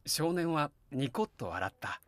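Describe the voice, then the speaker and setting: A man's voice: fairly high pitch, sing-song, unhurried, fairly bright tone, no echo. A man playing a kindly, wistful middle-aged family man in a Japanese voice drama, both narrating reflectively and talking in dialogue. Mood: wistful, gentle. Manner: reflective storytelling alternating with hearty, coaxing dialogue.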